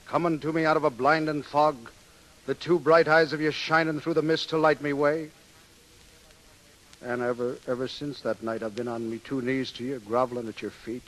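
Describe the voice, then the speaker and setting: An actor playing a brash, lovestruck young Irish sailor with an ardent lilt in a 1930s radio play. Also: male voice, mid-range voice, natural voice. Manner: ardent, lilting, boastful. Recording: hissy, noisy 1930s radio broadcast.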